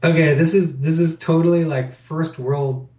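A man's voice: fairly high pitch, steady tone, moderate pace, a little mellow. Candid middle-aged man, roomy sound. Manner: candid, reflective, rambling with fillers. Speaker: interview guest, business owner. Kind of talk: casual interview talk. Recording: roomy echo.

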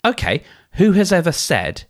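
A man's voice: high-pitched, wildly swooping pitch, normal speed. A man hosting a solo advice podcast, thinking aloud in a conversational way and often restarting his sentences. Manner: conversational, thinks aloud, acts out inner dialogues; frequent restarts and filler phrases. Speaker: podcast host.

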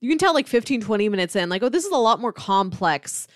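A woman's voice: on the high side, sing-song, quick pace, dry recording, studio quality. A woman co-hosting a podcast, casually analysing a film in an analytical way, with emphatic asides and paraphrases. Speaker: podcast co-host discussing a film.

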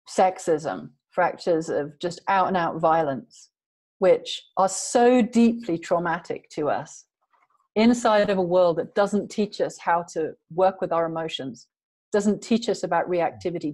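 A woman's voice: lowish voice, unhurried, darkish tone. Woman, interview guest, earnest, emphatic, long flowing sentences. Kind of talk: passionate conversational interview.

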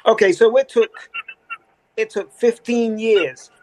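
A man in his early forties, speaking in a fairly high voice, talks earnestly with slang and frequent restarts. He sounds like he is on a remote call, with faint clicking noise behind him. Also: slow pace. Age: 40s. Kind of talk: casual conversation.

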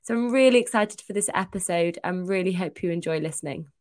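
A woman's voice: on the low side, fairly quick. Upbeat woman hosting a podcast. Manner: upbeat and enthusiastic, warm interviewer. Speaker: podcast host. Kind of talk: podcast hosting and casual chat.

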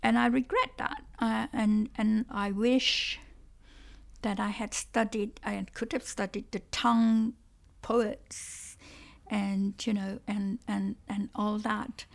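A woman whose first language is Cantonese, talking thoughtfully in an interview, often halting and repeating words as she searches for them. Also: medium pitch, fairly even intonation, vocal fry. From Malaysia. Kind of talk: conversational interview.

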